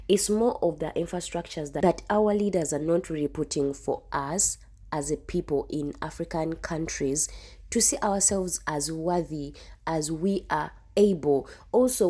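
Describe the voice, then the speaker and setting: A woman's voice: sits low, mellow. A young woman hosting a reaction video, talking earnestly and emphatically and often repeating herself. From Uganda. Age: young adult. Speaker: YouTube reaction host. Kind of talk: casual reaction commentary.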